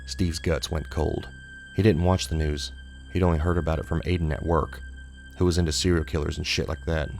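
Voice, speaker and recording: A man's voice: a bass voice, steady tone, a little fast, creaky, a little mellow, soft background music. A man narrating a scripted horror short story as an audiobook.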